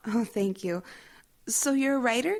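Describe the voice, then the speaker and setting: A woman plays a young, naive radio intern in a comic audio play. Her fairly high voice sounds hesitant, anxious and wide-eyed. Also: measured pace. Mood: polite, curious. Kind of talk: audio comedy drama acting.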